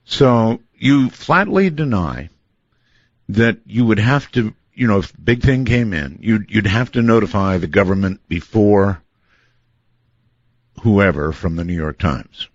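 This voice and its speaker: A man's voice: on the low side, animated, a little slow, no echo, lo-fi sound. Dry-witted man hosting late-night radio. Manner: dry, wisecracking. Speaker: radio talk show host.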